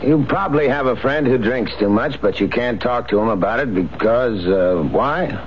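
A man's voice: mid-range voice, normal speed, loud music behind the voice. A man reading a direct public service appeal in plain-spoken, emphatic short phrases. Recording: muffled, faintly hissy radio recording.

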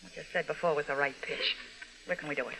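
An actress playing a tough, bossy blonde gangster's moll in an old radio drama, with slangy, menacing talk. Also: female voice, sits low, fairly even intonation, fast, crowd noise, low-quality recording. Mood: menacing, demanding. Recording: vintage radio recording.

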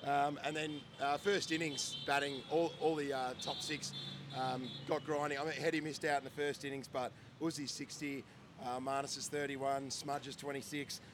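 A man, a co-host in a casual podcast chat, a little hoarse from days of shouting and eager to rattle off numbers. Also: medium pitch, level tone, normal speed, background noise. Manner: reels off stats, enthusiastic.

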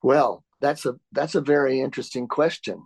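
A man who is the author and interview guest, answering questions in a conversational, halting way with frequent restarts and filler words. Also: medium pitch, creaky, a little mellow, dry recording.

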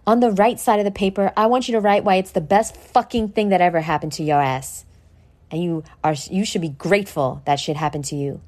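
A woman hosting an informal motivational podcast, brash and sweary, talking straight at the listener with dramatic, drawn-out emphasis. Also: fairly low pitch, a little mellow. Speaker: podcast host. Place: at home in a condo.

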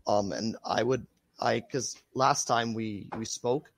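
A man speaking as a researcher guest in a casual interview, his voice raspy from being sick, hesitant with many fillers. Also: on the low side, takes it slowly.

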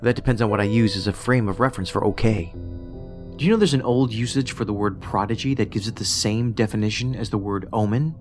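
An actor playing a pedantic, socially awkward federal investigator in a science fiction audio drama, speaking precisely and formally with a detached, musing manner. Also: male voice, lowish voice, fast, close to an everyday voice. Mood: detached, analytical. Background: music under the voice.

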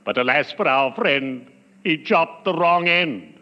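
An actor playing a genteel, gloomy undertaker with morbid wit and florid, formal diction in 1940s radio comedy style. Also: male voice, fairly high pitch, a little slow, close to an everyday voice. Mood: morbidly jovial. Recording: muffled, noisy old radio recording, reverberant.

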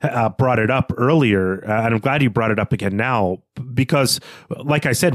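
Male podcast co-host, mid-pitched, casual book talk, feels his way through ideas, very dry recording. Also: dry recording. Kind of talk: literary discussion, casual chat.

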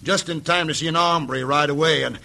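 Urgent, bright-voiced frontier townsman. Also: on the high side, steady tone, moderate pace, vocal fry. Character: alarmed frontier townsman, a parson, bringing grim news. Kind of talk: old-time radio western acting.

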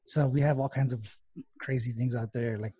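A man's voice: mid-range voice, steady tone, moderate pace, warm tone, breathy edge, no room sound. A man from West Texas, hosting an interview in a loose, filler-heavy way. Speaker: podcast host. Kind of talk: casual interview talk.

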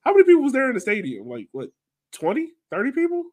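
A man's voice: very high-pitched, wildly swooping pitch, fast talker, darkish tone. A man hosting a casual sports podcast, talking in an informal, colloquial, emphatic way. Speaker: podcast host.

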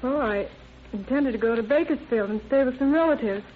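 A woman's voice: a little high, brisk, lightly acted. An actress playing a nervous, frightened married woman in an old radio drama, hesitant, flustered and pleading. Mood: anxious, flustered. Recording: tinny, noisy old radio recording.

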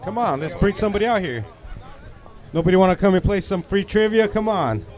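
A man's voice: sits high, expressive, brisk, darkish tone. A man in his thirties chatting casually outdoors as a podcast co-host, slangy and full of swearing. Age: 30s. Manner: slangy, swearing, says bro and dog. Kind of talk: casual chat. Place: outdoors by a food truck.

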